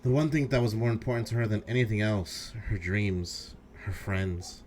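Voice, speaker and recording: Man voicing an earnest, low-voiced teenage schoolboy hero. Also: normal speed, darkish tone, a little hiss or hum, natural voice. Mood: hurt, brooding. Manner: earnest, emotional. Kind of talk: fan voice acting of a video game.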